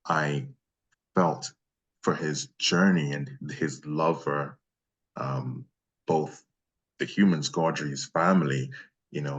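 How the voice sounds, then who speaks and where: A man speaking conversationally as an interviewed voice actor, in a reflective, halting way with emphatic repeats. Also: very deep voice, slightly rough, lo-fi sound.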